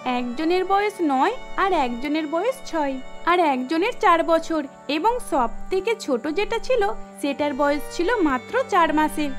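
A woman with a very high voice narrates a cartoon story. Her delivery is scripted storytelling, and music plays underneath.

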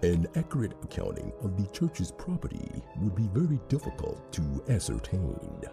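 Man playing creaky, swooping, formal agent. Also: deep voice, huge pitch swings, normal speed, heavily acted. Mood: grave. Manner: stilted, precise, formal. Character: formal, analytical male agent partner in sci-fi audio drama. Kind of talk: sci-fi audio drama acting. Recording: music behind the dialogue.

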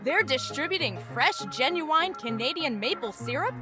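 An actress playing an excitable, plummy customer in a mock 1920s radio advert. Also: female voice, sits high, moderate pace, over music. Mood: eager.

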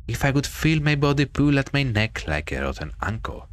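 A man's voice: mid-range voice, sing-song, fairly quick, pitched above the performer's own voice, lightly acted. A man reading the part of a vain, bitter ghost of a hanged girl in a horror visual novel, with dramatic storytelling. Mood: brooding.

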